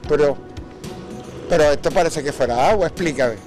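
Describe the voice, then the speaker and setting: A Venezuelan man hosts an informal interview on location. His voice sits a little high, and he is talkative and inquisitive. Faint music plays behind him. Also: moderate pace, a little mellow. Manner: chatty, inquisitive. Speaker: TV host and interviewer.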